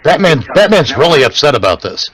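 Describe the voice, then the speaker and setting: A man's voice: a little high, very animated, brisk, a crowd in the background. A man hosting a stream with casual, joking commentary over old radio shows, making dry, crude quips. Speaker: stream host. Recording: radio show and other voices behind. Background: old radio drama playing.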